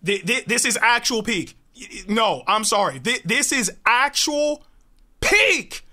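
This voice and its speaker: A young man hosting a gaming video, reacting to a trailer with hype, slang and yelling, speaking on a headset in a small home gaming room. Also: high voice, expressive, unhurried. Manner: hyped, yelling and laughing, slang-heavy. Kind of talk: excited gameplay reaction.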